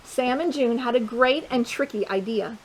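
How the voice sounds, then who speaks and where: High-pitched woman reading a children's story. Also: moderate pace, poor audio. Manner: expressive, asks listeners rhetorical questions. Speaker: storytime host reading a picture book to children. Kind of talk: read-aloud children's storytelling with chatty asides.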